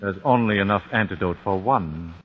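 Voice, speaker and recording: An actor playing a calculating, vengeful father in a 1940s radio drama, measured and menacing. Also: sits low, husky. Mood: cold. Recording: dull 1940s radio broadcast.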